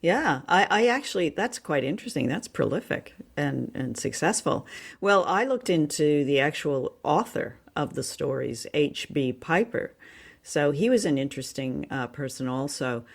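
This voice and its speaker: A woman co-hosting a podcast, telling things informally in a halting, conversational way. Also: low voice, animated, vocal fry. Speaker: podcast co-host. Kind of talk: casual chat, informal storytelling.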